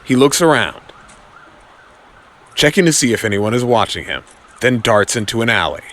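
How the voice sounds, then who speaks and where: A man's voice: medium pitch, big pitch swings, moderate pace, fairly bright tone. A man narrating an audio comic in a dramatic, scripted storytelling style.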